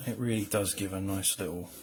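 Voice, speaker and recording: A man with a low, mumbling voice gives casual, rambling commentary while drawing on a livestream as a comic colorist, with self-deprecating asides. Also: fairly even intonation, brisk, slight background noise.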